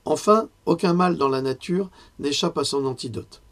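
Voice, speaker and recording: A hoarse man giving a lecture in a conversational way, often pausing and correcting himself. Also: a little high. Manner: conversational, with frequent fillers and self-corrections. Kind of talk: health lecture with personal asides.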